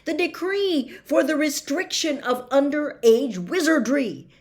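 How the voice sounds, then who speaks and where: An earnest young teenage boy, voiced by a woman storybook reader, speaking in lively, swinging pitch. He is eager and questioning, tending to blurt things out. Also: unhurried. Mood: blurting, anxious. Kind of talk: audiobook character reading.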